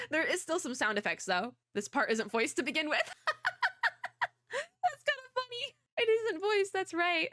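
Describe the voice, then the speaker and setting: A woman's voice: very high voice, sing-song, unhurried, fairly bright tone, no room sound. A young woman VTuber giving casual, rambling gaming commentary, sniffly and losing her voice. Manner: chatty, rambling, giggly. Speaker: VTuber streamer.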